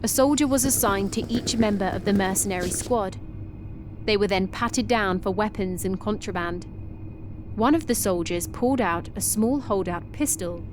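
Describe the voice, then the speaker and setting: A woman reading a science-fiction story aloud in a steady, descriptive way. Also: mid-range voice, noisy background. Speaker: narrator. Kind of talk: scripted story narration.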